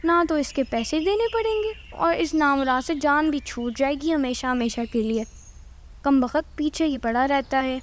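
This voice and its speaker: A woman's voice: sits high, moderate pace, natural voice. A woman voicing a boastful, spiteful female crow in a cartoon story. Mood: scheming, annoyed. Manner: boastful, mocking. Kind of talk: Hindi cartoon voice acting.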